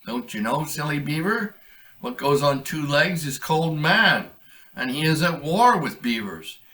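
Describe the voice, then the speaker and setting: A man's voice: on the high side, big pitch swings, unhurried, bright, forceful tone, far from the mic, voice pushed up, heavily acted. A man voicing a sly, mocking male coyote who taunts a beaver.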